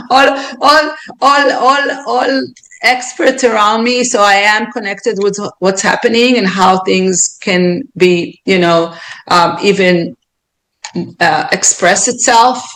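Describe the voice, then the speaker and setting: A woman's voice: mid-range voice, fairly bright tone, rough recording. A woman with an Israeli accent speaking as a podcast guest, earnest and reflective, often restarting and repeating words as she thinks aloud. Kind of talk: reflective interview talk.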